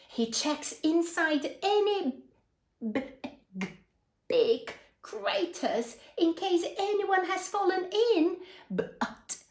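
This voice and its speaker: A woman with a high, very lively voice reads a children's story at an unhurried pace. She is expressive and often turns to her young listeners with prompting questions, as a storyteller would. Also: echoey room. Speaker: storyteller reading a picture book to children. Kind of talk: animated children's read-aloud with asides and questions.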